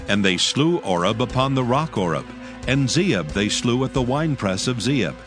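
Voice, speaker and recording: A man narrating scripture in a dramatic, solemn and measured scripted style. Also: mid-range voice, very animated, over music.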